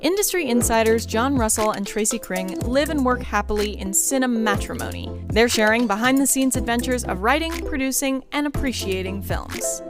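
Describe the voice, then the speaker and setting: A woman's voice: a little high, normal speed, hardly any pauses, over loud music. A woman reading a polished, scripted podcast intro as a voice-over. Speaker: show announcer.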